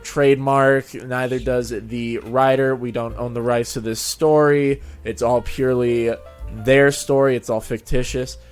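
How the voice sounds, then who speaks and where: A man chatting casually as a channel host, telling stories in an animated way and often laughing as he talks. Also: medium pitch, measured pace, smooth, soft background music. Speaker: host of a fan fiction narration channel. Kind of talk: casual chat.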